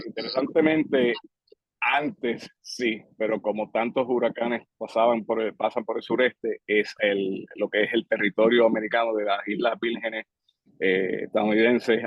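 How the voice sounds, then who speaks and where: A man, answering interview questions in a relaxed, measured way with frequent hesitations. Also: fairly low pitch, no room sound. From Puerto Rico. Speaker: guest, public safety director. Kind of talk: casual interview talk. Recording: dry, slightly dull podcast audio.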